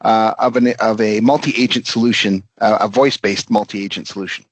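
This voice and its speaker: A man presenting business technology as a company executive, explaining step by step in a halting way with pauses mid-phrase. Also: fairly low pitch, fairly even intonation, dry recording. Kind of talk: business presentation with product demo pitch.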